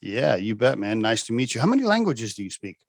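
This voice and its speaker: A man's voice: mid-range voice, sing-song, fast talker, vocal fry, no echo, studio quality. A man hosting a podcast, asking casual, chatty interview questions. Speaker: podcast host.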